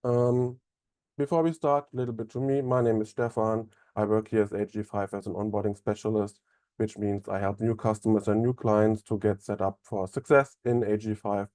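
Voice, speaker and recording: Mellow German-accented man, close dry mic. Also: lowish voice, moderate pace, no room sound. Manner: relaxed, many fillers and restarts. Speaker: onboarding specialist presenting a webinar. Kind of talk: webinar presentation.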